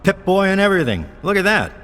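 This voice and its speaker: An actor playing a friendly, teasing lab assistant in a video game, with jovial, mock-stern banter. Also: male voice, sits high, big pitch swings, fast, a bit bright, long reverb tail. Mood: amused, friendly.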